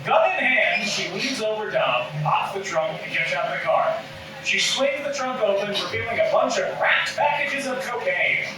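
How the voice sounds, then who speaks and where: A man's voice: sits high, lively intonation, moderate pace. A man reading stage directions as scripted narration at a live table read. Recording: echoey room, distant mic, clear noise.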